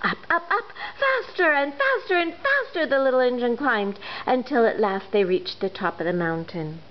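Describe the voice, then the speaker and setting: A woman reads a children's storybook aloud with a bright, fairly high voice that swings up and down with expression. The recording is dull and lo-fi. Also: normal speed. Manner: expressive, lively reading.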